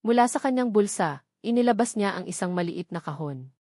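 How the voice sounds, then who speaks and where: A woman narrating a scripted love story as a storyteller, with a measured, earnest, sentimental delivery. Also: mid-range voice, lively intonation, darkish tone, no room sound, clean studio sound.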